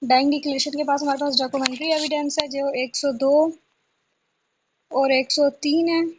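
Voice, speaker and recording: A woman's voice: high-pitched, little pitch movement, moderate pace, noisy background. A woman tutor from Pakistan giving an informal exam-prep lesson, reading through a list repetitively and asking herself questions as she goes. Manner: repetitive, asks herself questions before answering.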